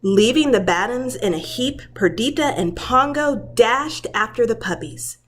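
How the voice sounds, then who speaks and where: A woman who works as a preschool teacher, reading a children's story aloud in a dramatic, deliberate storytelling manner. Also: fairly high pitch, animated.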